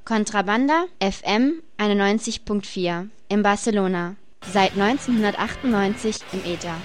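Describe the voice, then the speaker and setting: A woman delivers a scripted radio station ident. Her voice sits at an average pitch, with music playing lightly behind her. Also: moderate pace. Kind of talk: scripted station jingle announcement. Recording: music under the announcement. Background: background music.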